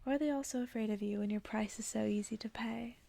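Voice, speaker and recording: A woman voicing an open-hearted, curious village girl in a storybook, soft and earnest. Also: on the high side, steady tone, fast, gravelly, no echo.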